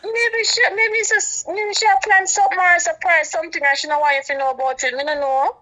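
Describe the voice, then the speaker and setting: A woman's voice: extremely high pitch, fairly even intonation, quick pace, sharp tone. A woman with a Jamaican accent chatting casually over a phone call.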